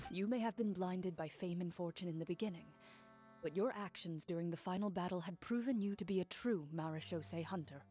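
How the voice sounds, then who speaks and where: A woman playing a composed, honourable hunter and duelist in a video game, speaking in a measured, formal way. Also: lowish voice, mellow, soft background music, lightly acted. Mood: magnanimous.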